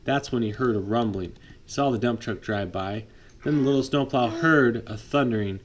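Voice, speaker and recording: A man reading a picture book aloud to his child at bedtime, lively, pausing to chat with the child. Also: mid-range voice, a little hiss or hum. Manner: lively read-aloud, voices for the trucks, chats with the child. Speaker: father reading a bedtime story.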